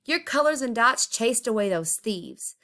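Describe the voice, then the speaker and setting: A woman's voice: fairly high pitch, lively intonation, unhurried, sharp tone, voice pushed up. A woman voicing loyal, feisty city neighbors in a storybook, calling out emphatically. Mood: encouraging.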